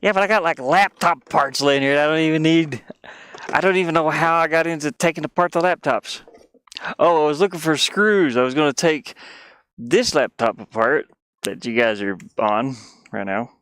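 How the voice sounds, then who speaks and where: A man's voice: a little high, moderate pace, fairly bright tone, smooth. A man live streaming with casual, rambling chat in a scattered, self-deprecating manner. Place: inside a small cluttered van.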